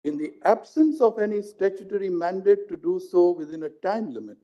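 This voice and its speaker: A man with an Indian accent, a judge reading out a legal judgment in formal, deliberate phrasing. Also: high voice, lively intonation, mellow. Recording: dull lo-fi live stream audio.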